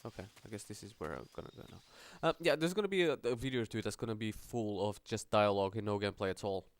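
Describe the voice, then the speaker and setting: A man's voice: on the low side, quick pace, dry recording. A man giving casual gameplay commentary in a hesitant, rambling, muttering way. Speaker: gaming video host.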